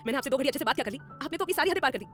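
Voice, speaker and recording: A proud young woman, stung and furious, lashing out in a high, quick voice, as a woman narrator plays her. Her words come fast and cutting. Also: expressive, soft background music. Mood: indignant. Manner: indignant, sharp and loud. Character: young woman, proud and offended, sharp-tongued.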